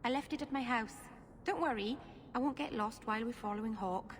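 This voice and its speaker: A woman's voice: on the high side, brisk, close to an everyday voice. An actress playing a sweet, scatterbrained young woman in video game banter, sounding innocent and puzzled. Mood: sheepish, chirpy. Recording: clear background noise.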